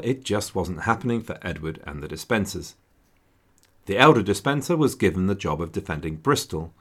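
Deep-voiced man narrating history with wry humor. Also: a bit bright. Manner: wry, dryly joking asides. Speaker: podcast host.

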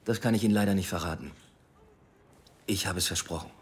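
An actor playing a guarded, ambitious police inspector in 1920s Berlin, measured and businesslike, in a naturalistic radio drama style. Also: male voice, deep voice, husky. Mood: guarded, reporting.

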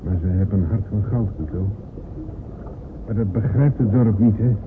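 Actor as low-voiced confiding seaman, noisy tinny recording. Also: moderate pace, close to an everyday voice. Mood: earnest, hurt. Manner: hesitant, confiding. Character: small, insecure village seaman confiding about his big wife. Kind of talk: Dutch radio play dialogue. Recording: tinny, noisy old radio play recording.

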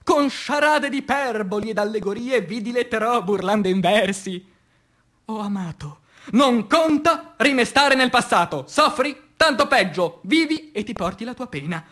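A man playing an anguished, passionate revolutionary poet in a declamatory radio theatre recitation, with dramatic pauses and swings between hushed and loud delivery. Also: high-pitched, animated. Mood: playful, aching. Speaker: stage actor.

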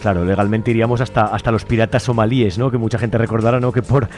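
A man's voice: lowish voice, fairly even intonation, quick pace, darkish tone, soft background music. A man with an accent from Spain interviewing on the radio in a conversational way, with long questions full of tag questions. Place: radio studio.

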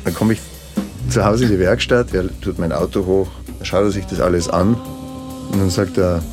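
A man's voice: sits low, steady tone, moderate pace, over music. A man chatting and telling stories in a casual way. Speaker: band musician. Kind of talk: casual conversation and storytelling.

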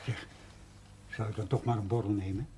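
Actor as a fretful, nervous husband. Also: male voice, lowish voice, fairly even intonation, a little fast, close to an everyday voice. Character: anxious, self-pitying husband with nerves before an event. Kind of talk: 1970s television drama acting. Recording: noisy old TV soundtrack.

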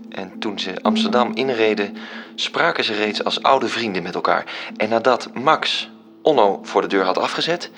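A man's voice: lowish voice, a bit bright. A man reading scripted literary narration as the narrator of a radio play. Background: music.

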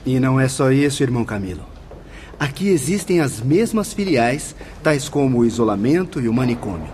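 A man's voice: mid-range voice, sing-song, normal speed, a little mellow, a little hiss or hum, close to an everyday voice. An actor playing a polite, instructive male spirit guide in a radio play. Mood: explaining.

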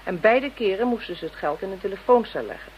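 A woman's voice: mid-range voice, very animated, fast talker. An actress playing a direct, confident female police inspector in a Dutch radio drama. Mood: explanatory. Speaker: voice actor. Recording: muffled, noisy old radio drama recording.

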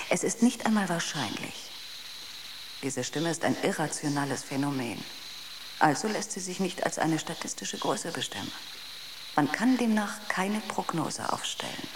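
An actress playing a logical, quietly argumentative ship's computer in a radio drama, with precise, clinical delivery. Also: female voice, low-pitched, expressive, unhurried, pauses often, noisy background.

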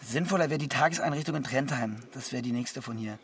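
A man's voice: mid-range voice, quick pace, a little hiss or hum, close to an everyday voice. An actor playing an earnest, conscientious, slightly touchy middle-aged man in a naturalistic radio drama, methodical in manner.

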